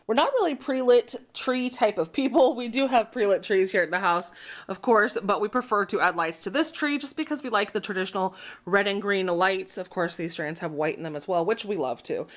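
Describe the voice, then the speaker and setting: Young woman, slightly raspy voice, chatty, upbeat, home vlog, camera propped up nearby. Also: mid-range voice, animated, fairly quick. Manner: chatty, upbeat, filler-heavy. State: slightly raspy from dust allergy. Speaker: vlogger. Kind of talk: casual vlog chat. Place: home, indoors.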